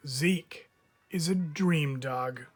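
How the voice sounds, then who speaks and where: A man voicing a kindly, soft-hearted old man in a storybook, speaking in a gentle, deliberate way. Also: a little high, slightly rough, soft background music, exaggerated voice. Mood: reassuring.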